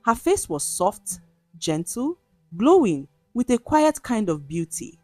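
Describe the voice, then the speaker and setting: A woman's voice: medium pitch, big pitch swings, takes it slowly, a little mellow, dry recording. A woman storyteller reading a scripted folktale in a dramatic narrative style. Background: music.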